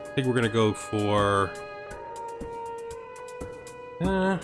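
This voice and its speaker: A man's voice: lowish voice, sing-song, normal speed. A man giving gaming commentary as a series host, thinking aloud as he plays. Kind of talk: gaming commentary with scripted intro narration. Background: background music.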